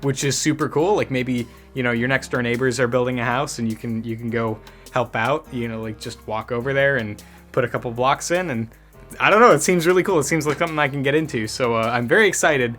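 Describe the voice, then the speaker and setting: A man's voice: medium pitch, fairly quick, a bit bright, soft background music. A man hosting a gaming news show in a casual, conversational way, dropping wry asides as he goes.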